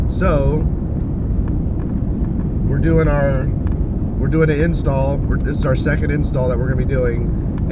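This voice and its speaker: An American man in his forties with a chest cold, chatting casually and a bit hesitantly while driving. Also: mid-range voice. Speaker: heating and air business owner, vlogger. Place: inside a moving pickup truck cab. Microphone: camera mounted in the vehicle. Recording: loud road and engine noise. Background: car driving noise.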